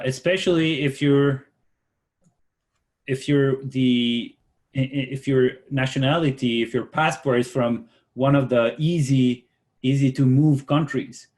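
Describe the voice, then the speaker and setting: A man in his forties whose first language is Spanish gives a casual online art workshop. He talks in a loose, rambling way, full of ums and restarts. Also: mid-range voice. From Latin America. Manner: relaxed, rambling, many fillers and restarts. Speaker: workshop instructor, concept artist.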